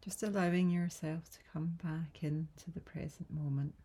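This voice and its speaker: A woman guiding a yoga nidra relaxation in a soft, breathy, soothing voice, gentle and unhurried with long pauses. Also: sits low, fairly even intonation. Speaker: yoga nidra meditation guide. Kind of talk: guided relaxation meditation.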